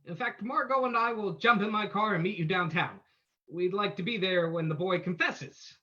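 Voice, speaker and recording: A man playing a suave, unruffled gentleman sleuth in an old-time radio drama, polite and assured. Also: high-pitched, moderate pace, lo-fi sound. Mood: calm, reassuring.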